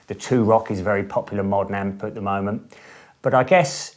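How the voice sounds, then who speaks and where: A middle-aged man who owns a guitar amp studio, chatting in an interview in a relaxed, enthusiastic way with lots of fillers and restarts. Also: lowish voice, a little fast. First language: English. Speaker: boutique amp studio owner. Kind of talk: casual interview chat.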